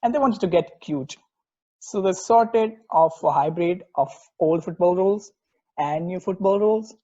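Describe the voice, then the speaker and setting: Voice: mid-range voice, normal speed, dark tone, dull audio, lightly acted. A performer playing an enthusiastic, snarky sports podcast co-host in a casual table read. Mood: casual storytelling.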